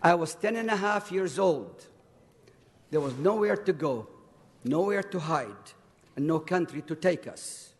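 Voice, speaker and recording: An elderly man in his eighties, a native Arabic speaker from Iraq, gives testimony in a slow, measured voice that sits a little high. His words carry a long reverb from a large council chamber. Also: lively intonation. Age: 80s. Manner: slow, deliberate reading of a prepared speech. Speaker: invited speaker. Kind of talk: prepared public testimony.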